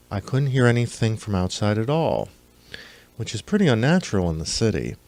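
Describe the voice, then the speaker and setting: A man's voice: medium pitch, a little mellow, no echo. A man reading his own scripted first-person fiction as an audiobook narrator.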